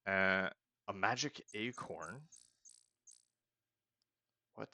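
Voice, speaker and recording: A man hosting a casual let's play, reading game text aloud and thinking out loud as he goes. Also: sits low, drawn-out words, no room sound. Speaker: gaming host.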